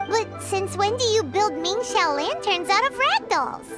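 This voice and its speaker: A woman voicing a small, childlike, cheerful and excitable fairy guide in a video game, with a squeaky voice and exaggerated exclamations. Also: unhurried, over music, heavily acted. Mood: curious. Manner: refers to herself in the third person, exaggerated exclamations. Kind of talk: animated video game character acting.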